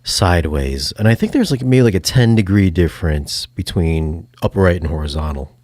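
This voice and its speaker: A man hosting a casual review chat, playful and teasing, with a husky voice. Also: low-pitched, expressive, normal speed, a little mellow, no room sound. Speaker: video review host.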